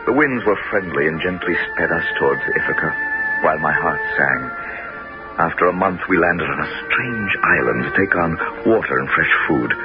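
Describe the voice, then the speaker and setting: An actor playing a bold, commanding Greek hero who also narrates, in a 1970s radio drama. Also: male voice, sits low, sing-song, moderate pace, over music. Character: brave male Greek hero, commanding leader. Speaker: narrator and lead. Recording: dull vintage radio broadcast.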